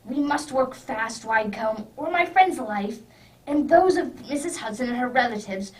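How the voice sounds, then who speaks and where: A woman's voice: on the high side, lively intonation, moderate pace, natural voice. A woman playing a commanding male detective in a radio drama, grave and declarative as he deduces. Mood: urgent. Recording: distant microphone.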